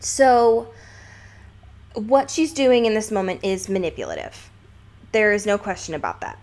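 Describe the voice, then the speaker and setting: A woman's voice: medium pitch, lively intonation, a little slow, darkish tone. A woman co-hosting a podcast, discussing a book casually and analytically with emphatic, lightly profane phrasing. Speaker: podcast co-host.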